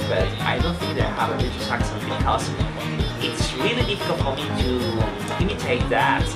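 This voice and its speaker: Chatty man, echoey room, loud music. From Venezuela. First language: Spanish. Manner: chatty, opinionated, swoops up in pitch for emphasis. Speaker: vlogger and former English teacher. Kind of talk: casual talk to camera. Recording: roomy echo with loud background music.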